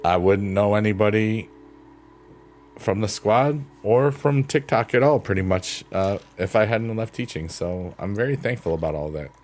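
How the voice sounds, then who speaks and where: A man with a booming voice on a late-night stream, chatting in a rambling, thankful way and answering his chat. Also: normal speed, a little hiss or hum. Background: soft piano music.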